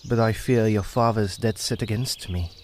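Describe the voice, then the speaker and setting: A man's voice: lowish voice, steady tone, moderate pace, darkish tone, a little hiss or hum, lightly acted. An actor playing an earnest, devoted young man in a radio drama, speaking with an old-fashioned turn of phrase. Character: young male village lover, earnest and devoted.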